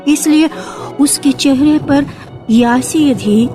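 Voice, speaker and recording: A woman narrating a radio drama from a script in a measured storytelling manner. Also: high voice. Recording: archival radio with faint music.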